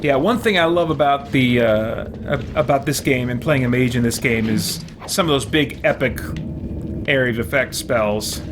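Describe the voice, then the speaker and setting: A man's voice: medium pitch, normal speed, background noise. A man hosting a let's play, giving casual gameplay commentary and thinking aloud with mild, folksy exclamations. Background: loud game music.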